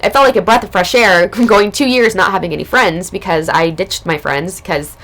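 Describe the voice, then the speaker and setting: A woman recovering from illness, her voice hoarse and raspy, telling a personal spiritual story in a casual, loose way as a podcast host. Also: mid-range voice, normal speed, fairly bright tone. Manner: casual, loose, spiritual talk with many fillers.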